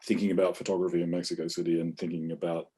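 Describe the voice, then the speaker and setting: A man interviewing a guest, asking careful, measured questions. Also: low-pitched, level tone, dark tone, slightly rough. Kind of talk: interview conversation.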